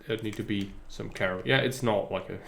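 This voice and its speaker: A man streaming a game live, chatting in a calm, relaxed way with his viewers. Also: fairly low pitch, moderate pace, creaky, slight background noise. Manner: relaxed, chatty, addresses chat. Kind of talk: casual live gameplay commentary.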